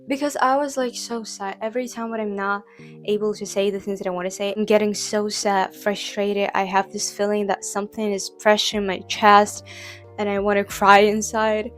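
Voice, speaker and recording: A young woman who is not a native English speaker, talking casually to camera in a vlog with lots of "like" fillers and restarts. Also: mid-range voice. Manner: rambling, heavy use of "like" fillers, frequent restarts. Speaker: vlogger.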